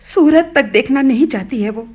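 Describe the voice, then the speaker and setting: An actress playing an anguished middle-aged widowed mother in a Hindi radio play, halting and polite in her sorrow. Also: high voice, expressive, a little mellow, muffled recording. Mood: distressed, hesitant.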